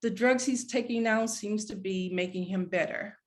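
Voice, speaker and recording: A woman acts out a retired professor in her sixties, a mother who is both loving and easily wounded. Her delivery is naturalistic and conversational, at a middle pitch, as in a live script read. She leans on pointed questions to press her point. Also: moderate pace, natural voice. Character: retired professor mother, sixty-five, guilt-tripping, warm but wounded. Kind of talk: live screenplay table read.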